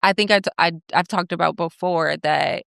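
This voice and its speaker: A woman's voice: lowish voice, normal speed, gravelly, a bit bright, smooth, no room sound, studio quality. A young woman co-hosting a faith podcast, explaining her views earnestly and asking her co-host questions. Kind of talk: faith conversation.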